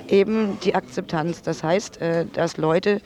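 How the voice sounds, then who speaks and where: A man giving a spontaneous explanation in a radio interview, halting as he searches for words. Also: high voice, steady tone, a little mellow.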